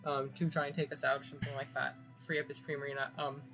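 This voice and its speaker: A young man talking quickly through a recorded battle commentary, earnest and explanatory with plenty of ums. The clip is played back from an old video, and clear music runs under his voice. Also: medium pitch, little pitch movement. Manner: earnest, explanatory, filler-heavy. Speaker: game commentator.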